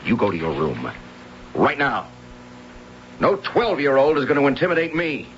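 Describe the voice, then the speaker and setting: An actor playing a stern, stubborn husband in an old radio drama, gruff and scolding in manner. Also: male voice, mid-range voice, wildly swooping pitch, normal speed. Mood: angry, scolding. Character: stern, irritable, stubborn husband fed up with a boy. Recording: dull, noisy old radio recording.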